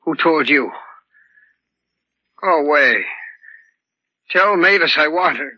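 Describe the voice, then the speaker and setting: An actor playing a deranged, lovesick man in a hospital bed, rambling and repeating himself haltingly in a 1940s radio drama. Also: a little high, animated, sharp tone, full character voice. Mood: feverish longing. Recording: dull lo-fi 1940s radio recording.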